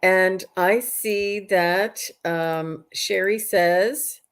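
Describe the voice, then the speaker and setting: A woman hosting a live stream, chatting casually and giving readings to viewers, often halting and repeating phrases as she thinks aloud. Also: on the low side, clean tone.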